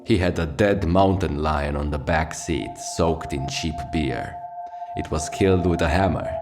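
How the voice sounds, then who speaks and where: Casting: male actor as brooding late radio host of a surreal city, low voice, poetic and profane, loud music underneath. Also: a little slow. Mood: wry, reverent. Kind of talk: scripted fiction radio monologue. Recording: music behind the voice.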